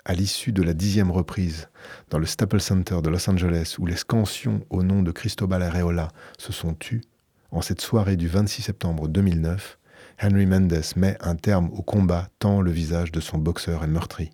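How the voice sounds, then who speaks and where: A man with a very low, husky voice reads a book aloud on radio in a measured, emphatic, dramatic way. His tone is dark and mellow. The recording is close and dry, from a studio microphone. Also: steady tone, no room sound. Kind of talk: reading a book aloud, then a book review.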